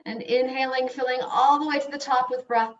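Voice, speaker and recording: A woman yoga instructor leading slow guided breathing and meditation in soothing, unhurried short phrases with long pauses. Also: sits high, fairly even intonation. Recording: dull, echoey room, distant mic.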